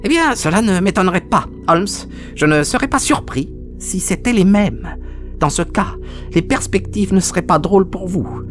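A man's voice: high voice, lively intonation, moderate pace, soft background music, voice pushed up. A man voicing a cruel, gloating villain in an audiobook, with a mocking, mock-polite way of talking. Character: sneering, gloating villain, a cruel male visitor. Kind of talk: audiobook character acting.

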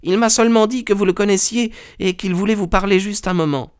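A man voicing a young housemaid in an audiobook story, brisk and deferential. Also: high-pitched, fairly even intonation, smooth, voice pushed up, close to an everyday voice.

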